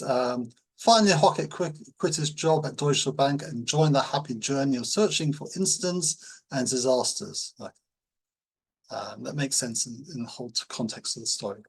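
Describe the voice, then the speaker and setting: A man teaching in an informal tutorial, explaining things in a halting, hesitant way. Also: medium pitch, lively intonation, slightly rough. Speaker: language-learning teacher.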